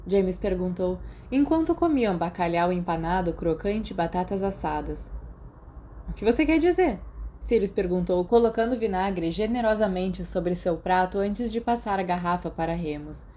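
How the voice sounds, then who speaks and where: Brazilian woman narrating an audiobook, soft dark mellow tone, a bit of noise in the background. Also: fairly low pitch, expressive, moderate pace, hardly any pauses. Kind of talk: scripted story narration.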